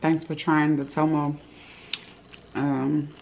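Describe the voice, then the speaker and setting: A woman's voice: low voice, little pitch movement, slow pace, creaky, a little mellow, slight background noise, poor audio. A woman in her thirties chatting casually to her viewers while eating at home, calling them y'all. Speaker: vlogger. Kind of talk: casual eating vlog chat. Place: home living room, indoors.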